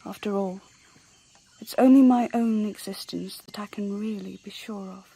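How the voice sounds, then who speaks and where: An actress playing a cool, self-possessed young woman in a radio drama, with a hushed, candid manner. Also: medium pitch, steady tone, normal speed, gravelly, husky, dry recording. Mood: quietly defiant. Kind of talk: intimate radio drama acting.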